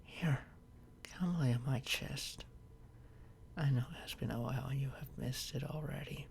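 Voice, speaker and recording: A man playing a tender, protective boyfriend in a soft, soothing ASMR roleplay, often close to a whisper. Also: mid-range voice, moderate pace, creaky, slight background noise, close to an everyday voice. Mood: soothing, affectionate. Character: tender, protective boyfriend soothing his overworked partner to sleep.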